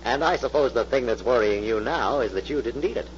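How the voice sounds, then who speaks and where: An actor playing a calm, gallant leading man in an old radio mystery, reassuring and gently wry. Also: mid-range voice, brisk, lightly acted. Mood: teasing. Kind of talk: 1940s radio mystery acting. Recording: muffled, hissy old radio recording.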